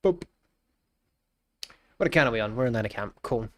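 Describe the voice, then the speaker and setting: A man's voice: mid-range voice, lively intonation, brisk. A man in his twenties with a blocked nose, chatting casually and jokingly on a live stream, often trailing off into mumbles. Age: 20s. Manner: joking, greets chat warmly, trails off into mumbles.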